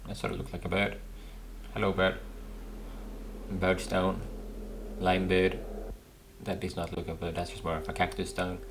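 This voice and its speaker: A man with a very low, gravelly voice talks casually through a game playthrough. He muses and thinks out loud over faint background noise. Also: completely flat delivery, normal speed, long pauses. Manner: musing, thinking aloud. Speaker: gaming video host.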